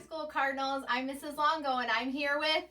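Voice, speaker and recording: A woman's voice: sits high, steady tone, moderate pace, sharp tone, faint music behind. A woman introducing a bedtime read-aloud for a school, recorded at a distance from the mic.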